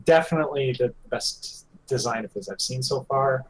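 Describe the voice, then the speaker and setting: A man's voice: medium pitch, moderate pace, a crowd in the background, rough recording. A man co-hosting a podcast in casual banter. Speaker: podcast co-host.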